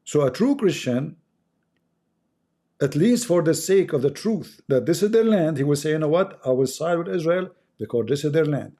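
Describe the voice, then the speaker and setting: A man's voice: fairly high pitch, expressive, normal speed, mellow. Emphatic Arabic-accented man preaching. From Middle East. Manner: emphatic, preachy, repeats for effect. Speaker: livestream host. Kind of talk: impassioned religious monologue.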